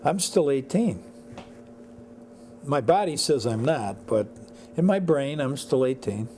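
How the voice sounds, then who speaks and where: A man in his seventies with an American accent, a teacher reminiscing casually in a folksy, halting way with short phrases. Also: mid-range voice, animated, dark tone, slight background noise. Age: 70s. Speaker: high school teacher.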